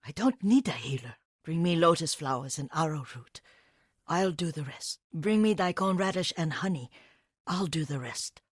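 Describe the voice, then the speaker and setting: An actress playing a frail, devoted elderly Japanese herbalist in a video game, speaking gently and wistfully with a husky, breathy voice. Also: female voice, deep voice, animated, no echo, clean studio sound. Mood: brisk, practical. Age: older. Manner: gentle, wistful, slow and halting. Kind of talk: dramatic video game voice acting.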